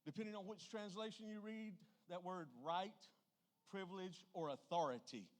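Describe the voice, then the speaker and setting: A man's voice: high voice, moderate pace. A man with a Southern US accent preaching a church sermon in a folksy, plainspoken and emphatic way.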